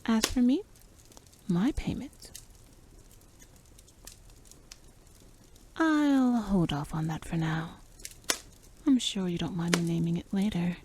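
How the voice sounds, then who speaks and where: A woman performs a playful, cool-headed female rogue from a fantasy adventure. Her voice is soft and dark, often dropping to an intimate whisper. She takes her time with each teasing phrase, in ASMR roleplay style, over faint background noise. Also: fairly low pitch, animated. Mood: sly, calm. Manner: teasing, unhurried, half-whispered.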